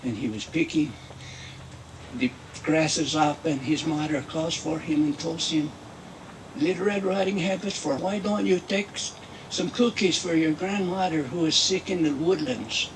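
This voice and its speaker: A man playing a Mexican-American storyteller who tells a comic fairy tale in broken English, with drawn-out, repetitive folksy phrasing. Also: on the high side, recorded from a distance, a little hiss or hum, low-quality recording. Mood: folksy, earnest. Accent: put-on Mexican-American.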